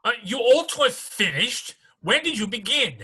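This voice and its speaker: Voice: mid-range voice, sing-song, moderate pace, sharp tone, breathy edge, exaggerated voice. A performer playing an imperious, impatient royal judge who barks commands in a dramatic reading. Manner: commanding, blustering. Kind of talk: dramatic reading of a classic children's story.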